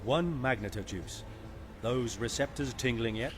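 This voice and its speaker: A man's voice: medium pitch, measured pace, slight background noise, natural voice. A man playing a sardonic, easygoing mercenary pilot in a space video game, with a wry, casual manner. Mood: casual, probing.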